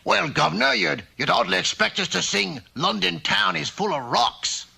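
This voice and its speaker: A man playing an excitable, raspy comic townsman in a 1960s cartoon. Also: high voice, moderate pace, exaggerated voice. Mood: indignant. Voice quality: gravelly, raspy.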